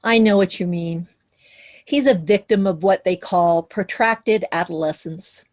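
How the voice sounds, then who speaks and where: A woman playing a fond, wry widowed mother who works in banking, speaking in a measured, conversational way in a musical theatre read-through. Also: lowish voice, darkish tone, lightly acted. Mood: wry, resigned. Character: widowed banker mother, middle-aged or older, fond and wry. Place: recorded remotely online.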